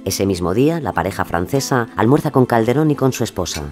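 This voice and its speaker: A man's voice: fairly low pitch, animated, brisk, a little mellow, soft background music. A man delivering scripted narration for a documentary audio drama.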